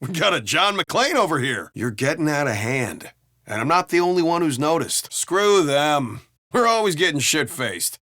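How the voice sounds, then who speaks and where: A man's voice: fairly high pitch, sing-song, moderate pace, sharp tone, no room sound, clean studio sound. A man playing a brash, griping American city cop in video game banter, talking in a colloquial, wry and profane way. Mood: dry, griping. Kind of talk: casual in-game banter dialogue.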